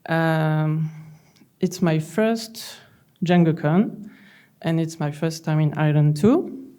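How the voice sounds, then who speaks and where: A middle-aged woman from France with a French accent, giving a calm, gently humorous conference talk from a lectern in a large hall. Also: low voice, takes it slowly, clear, resonant tone. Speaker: conference speaker.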